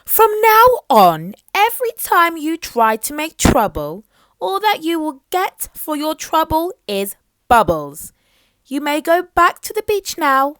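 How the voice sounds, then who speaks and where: A woman's voice: sits high, very animated, takes it slowly, a bit bright, no echo, pitched above the performer's own voice, exaggerated voice. A woman voicing a stern, angry mermaid queen from a storybook, scolding and commanding in a regal way.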